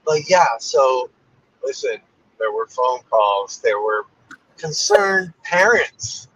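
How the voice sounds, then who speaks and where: A man's voice: a little high, a bit bright, breathy edge. A man hosting a casual live stream, joking in a dry way with his co-host. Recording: dull lo-fi sound.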